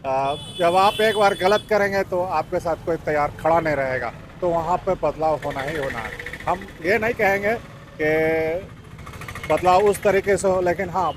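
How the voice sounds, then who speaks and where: A man India speaks his mind in a street interview. His voice is somewhat high and he repeats phrases for emphasis. Faint traffic noise surrounds him. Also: unhurried. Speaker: member of the public being interviewed. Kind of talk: spontaneous opinion in a street interview. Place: outdoors in an urban area.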